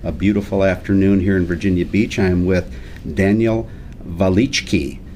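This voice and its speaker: Genial male host, low mellow voice, talky with many restarts. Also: fairly even intonation, normal speed, a little hiss or hum. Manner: chatty, repeats and restarts words. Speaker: interview host. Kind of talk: casual interview.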